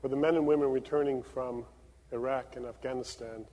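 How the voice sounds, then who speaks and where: A man's voice: mid-range voice, fairly even intonation, brisk, mellow. A man from Venezuela, a pastor leading prayers and giving announcements with many fillers. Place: church sanctuary. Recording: long reverb of a church sanctuary.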